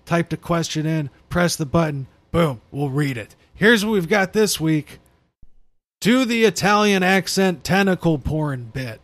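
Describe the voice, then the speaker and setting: A man co-hosting a podcast in casual, profane chat, rambling with halting restarts. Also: fairly high pitch. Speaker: podcast co-host.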